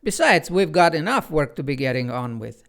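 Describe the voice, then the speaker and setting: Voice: mid-range voice, big pitch swings, normal speed, a bit bright. A storyteller voicing a pompous, theatrical male clock spirit who speaks with an affected lisp.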